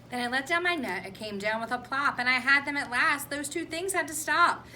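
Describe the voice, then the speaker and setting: A woman's voice: sits high, animated, normal speed, bright, forceful tone, a little hiss or hum. A woman storyteller reading expressively to children and adding chatty asides. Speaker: read-aloud storyteller.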